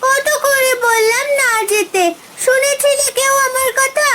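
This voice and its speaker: A performer voicing an anxious, scolding cartoon village child with a shrill voice. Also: steady tone, a little slow, pushed and bright, a little hiss or hum, exaggerated voice. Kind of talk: cartoon voice acting.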